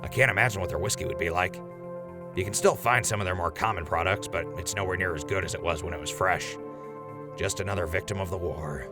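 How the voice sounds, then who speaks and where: Man playing post-apocalyptic radio host, low register, crackly fry, chatty and wisecracking, radio drama, music underneath. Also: quick pace. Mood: breezy salesman. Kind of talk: radio drama, in-character sponsor reads and banter.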